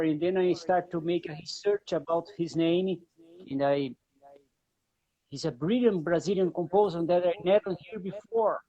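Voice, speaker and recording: A host asking questions in broken, halting English, often repeating words before getting them out. The voice sits at an ordinary pitch, and the audio is a little dull and muffled. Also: dark tone. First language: not English. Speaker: interview host. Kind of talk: casual interview conversation in English.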